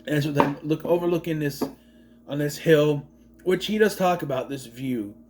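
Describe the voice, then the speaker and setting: A man's voice: a little high, normal speed, a little mellow, slight background noise. A man in his late thirties giving a casual movie review, rambling, swearing freely and wandering off on tangents. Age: 30s.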